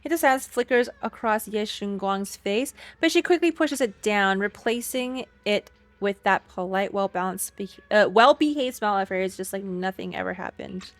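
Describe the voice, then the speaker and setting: A woman's voice: medium pitch, moderate pace, few pauses, no echo. A woman streamer giving casual reaction commentary on a game and reading story text aloud. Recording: game music behind the voice.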